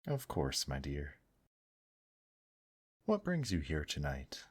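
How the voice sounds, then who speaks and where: An extremely deep-voiced demon host, acted by a man, speaks slowly and intimately, as in an ASMR roleplay. He is suave and courtly, gently teasing the listener with old-fashioned pet names. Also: wildly swooping pitch, slightly rough, heavily acted. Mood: courteous, gently teasing.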